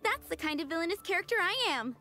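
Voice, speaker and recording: A woman's voice: very high-pitched, expressive, fast talker, pushed and bright, faint music behind, full character voice. A woman voicing a polite, cheerful young waitress in a video game, courteous and lightly playful.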